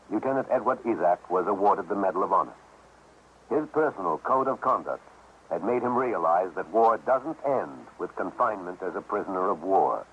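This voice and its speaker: Deep-voiced, solemn vintage radio announcer, male. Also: fairly bright tone. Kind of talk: solemn scripted wartime heroism narration, 1950s radio. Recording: dull old radio recording, faint noise.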